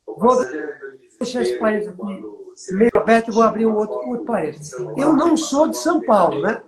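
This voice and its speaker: A man's voice: sits high, expressive, brisk, a crowd in the background. Northeastern Brazilian man coaching in echoey room. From Bahia, Brazil. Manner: storytelling, asks listener for agreement. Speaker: business mentor. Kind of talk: conversational business coaching.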